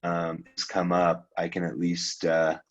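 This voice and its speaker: A man from Iran giving a casual presentation on a video call, relaxed and loose, with frequent ums and restarts. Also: low-pitched, measured pace, lo-fi sound. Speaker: guest speaker, venture capitalist.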